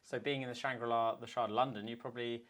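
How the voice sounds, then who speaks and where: Man, podcast host, medium pitch, friendly, easy-going, interview questions. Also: fairly even intonation, fast, fairly bright tone. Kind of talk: casual interview chat.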